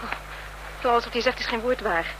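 A woman's voice: fairly high pitch, moderate pace, natural voice. An actress playing an indignant, urgent woman in a radio drama, protesting and reproachful toward the men. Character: indignant woman, sweetheart caught between two men. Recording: hissy, humming old radio drama recording.

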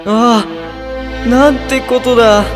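A man's voice: extremely high pitch, fairly even intonation, slow, music in the background, pitched above the performer's own voice. A man voicing an earnest, kindly young male villager who speaks in exclamations. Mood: shocked. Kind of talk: storybook voice acting.

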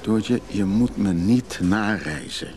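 An actor playing a restless, evasive recovering drinker in a radio play, hesitant and confiding. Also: male voice, fairly low pitch, slow, darkish tone. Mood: teasing, frank. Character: restless recovering drinker, evasive man who craves freedom. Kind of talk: naturalistic Dutch radio drama acting.

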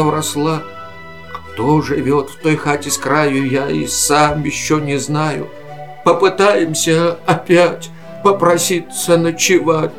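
A man playing a homeless old tomcat yard-keeper, humble and weary, in a verse audio play for children. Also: fairly high pitch.

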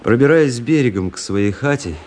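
A man's voice: mid-range voice, lively intonation, normal speed, darkish tone, a little hiss or hum, natural voice. An actor playing an intense, introspective young officer who narrates his own adventure in a radio drama. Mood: reflective, measured. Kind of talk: dramatic first-person narration, radio play. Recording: radio play with music underneath. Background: music.

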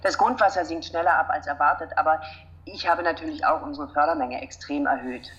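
Ruthless female executive deputy, notably deep register, brisk, businesslike radio drama delivery. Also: a bit bright, lo-fi sound. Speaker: executive assistant.

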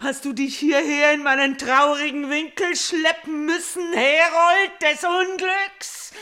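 Vengeful young princess in a tragic radio play, slow and intense, whispery to crying out. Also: female voice, high voice, fairly even intonation, bright, forceful tone. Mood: anguished. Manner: declamatory, intense, swinging between whispers and outcries. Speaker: radio drama actor.

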